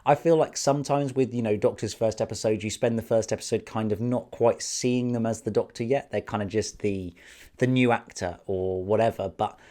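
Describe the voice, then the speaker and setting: A man talking through a review in a loose, excited ramble, full of fillers and restarts. His voice sits somewhat low and sounds hoarse from a cold. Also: moderate pace. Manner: enthusiastic, chatty, many fillers. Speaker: video reviewer. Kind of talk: casual spoken review.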